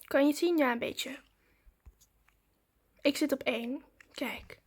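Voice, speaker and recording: A woman's voice: high-pitched, unhurried. A woman chatting informally to her viewers in a casual vlog, narrating what she is doing as she goes. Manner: informal, chatty, narrates own actions as they happen. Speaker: vlogger.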